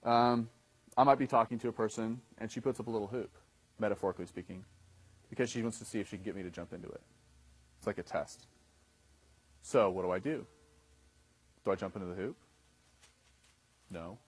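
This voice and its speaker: Man, thirties, instructor, low-ish voice, husky, casual, keeps checking in with the class. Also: fairly quick, pauses often. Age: 30s. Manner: conversational, often checks in with "okay?". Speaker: dating coach instructor.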